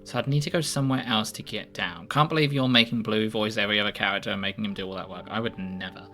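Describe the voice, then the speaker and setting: A man's voice: lowish voice, quick pace, sharp tone, soft background music. A man streaming a game and chatting playfully, thinking aloud and poking fun at himself. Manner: playful, self-deprecating, thinks aloud while playing. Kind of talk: casual gaming chat.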